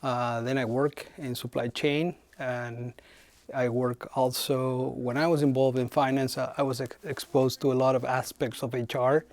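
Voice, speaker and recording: A middle-aged man speaks in a thoughtful, unhurried way and often hesitates mid-sentence. His voice sits at an ordinary middle pitch. The recording is dry, with almost no room sound. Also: flat delivery, darkish tone, dry recording. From Chile. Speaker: head of human resources. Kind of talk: interview answers.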